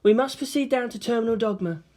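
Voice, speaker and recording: A woman voicing a solemn, soft-spoken young girl who gives calm commands in formal, measured phrases in a story reading. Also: on the high side, voice pushed up, lightly acted. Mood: solemn, resolute.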